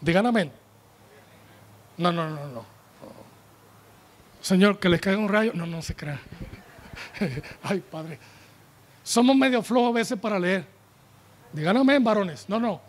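A man in his forties preaches from the pulpit of a church tent, his voice sitting very high for a man. He holds a handheld microphone and speaks in an animated, joking way, turning to the congregation for responses. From United States. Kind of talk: sermon.

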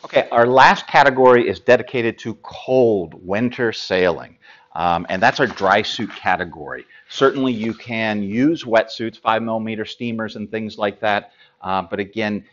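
Male American presenter, fifties, lower register, informal halting explainer style, slightly dull studio audio. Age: 50s. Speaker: sailing gear expert presenter. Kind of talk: informal product explainer to camera.

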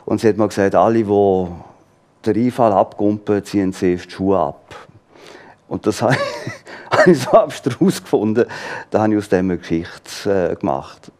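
A man in his fifties with a Swiss German accent, a guest in a studio interview, relaxed and laughing often as he thinks aloud. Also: lowish voice, moderate pace. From born in London, raised in Graz and Olten. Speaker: author guest. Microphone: lapel.

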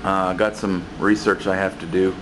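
A man with a very low voice talks loosely as he walks a handheld camera around a cluttered basement workshop. He backtracks and fills pauses with "uh" and "um", easygoing and unscripted. A steady hum of air conditioning sits clearly behind him in the noisy basement. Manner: rambling, relaxed, many fillers and restarts. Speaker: building maintenance worker giving a shop tour. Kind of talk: casual walkaround tour. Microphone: handheld camera mic, speaker off screen.

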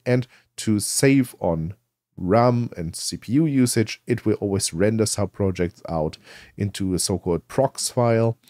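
A man narrating a software tutorial in a conversational, explanatory way, asking and answering his own questions. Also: lowish voice, no room sound, studio quality. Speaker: tutorial presenter.

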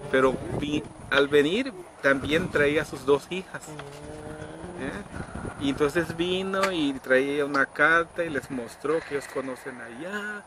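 A middle-aged man casually telling a story outdoors, acting out quoted people with sudden high pitched voices. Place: outdoors under trees in a rural yard. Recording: outdoor noise in background.

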